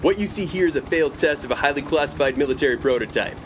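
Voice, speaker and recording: An actor playing a stern American Air Force captain, clipped and authoritative, in an audio drama. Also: male voice, a little high, fast talker, background noise, close to an everyday voice. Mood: smooth, official. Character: stern male Air Force captain, commanding and coolly intimidating.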